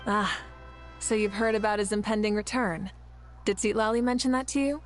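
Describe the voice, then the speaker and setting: A woman playing a self-assured female leader in a video game, easygoing and gently teasing in manner. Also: mid-range voice, fairly even intonation, normal speed, soft background music, natural voice. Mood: curious. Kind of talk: video game character acting.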